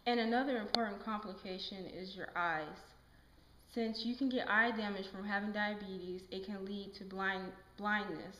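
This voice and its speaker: A young woman playing a calm nurse in an amateur educational puppet show, explaining things plainly and reassuringly. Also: medium pitch, moderate pace, a little hiss or hum, natural voice. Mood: instructive. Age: young adult. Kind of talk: amateur scripted educational dialogue. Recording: echoey amateur room recording.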